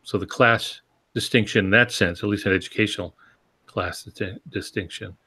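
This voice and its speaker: Low-voiced man, halting and conversational. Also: steady tone, bright, forceful tone, breathy edge. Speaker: podcast co-host. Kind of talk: casual panel discussion, explaining by example.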